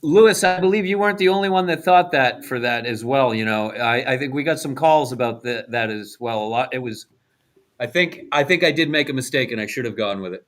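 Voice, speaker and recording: A man's voice: medium pitch, fairly quick, fairly bright tone. A man chatting casually as a panelist on a radio show. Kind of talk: casual chat.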